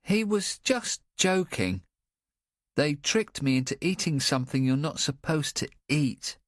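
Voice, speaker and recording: A man voicing an anxious, simple-minded young boy in an audiobook, talking in a halting, earnest way. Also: a little high, lively intonation, no echo, studio quality, pitched above the performer's own voice. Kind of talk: audiobook character voice.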